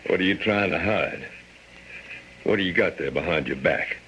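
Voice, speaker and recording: A man's voice: deep voice, normal speed, sharp tone. An actor playing a stern, menacing older rural father in a radio drama, accusing and commanding. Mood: suspicious, angry. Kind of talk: old-time radio drama acting. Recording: slightly noisy radio drama recording.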